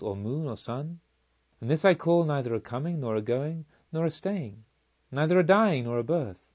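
Man reading a serene wise woman disciple, mellow. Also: fairly high pitch, lo-fi sound. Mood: solemn, reverent. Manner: calm, solemn, didactic.

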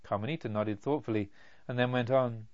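A man's voice: mid-range voice, steady tone, a little fast, no echo. A man narrating a literary story from a script as an audiobook reader, in a slightly dull recording.

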